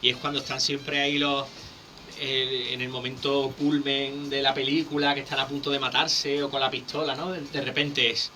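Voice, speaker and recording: Man, speaking coach, lively teacherly explaining, very bright forceful tone, faint background hiss. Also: mid-range voice, moderate pace. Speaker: public speaking coach, guest. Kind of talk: conversational radio interview.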